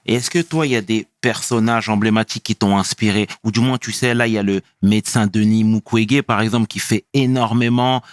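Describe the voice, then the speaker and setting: A man's voice: fairly low pitch, steady tone, fairly quick, few pauses, no echo, studio quality. A man hosting an interview, asking questions in a casual, informal way. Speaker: host.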